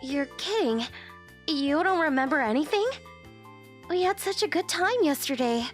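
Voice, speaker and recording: A woman voicing a poised, direct young company president in an anime-style manga dub, composed and expressive. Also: high-pitched, moderate pace, soft background music. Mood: gentle, teasing. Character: poised young female company president, curt at work, softer privately.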